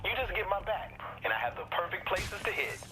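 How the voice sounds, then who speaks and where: An actor voicing a brash gang member in video game dialogue, talking quick and scheming. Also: mid-range voice, big pitch swings, natural voice, rough recording. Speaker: game character.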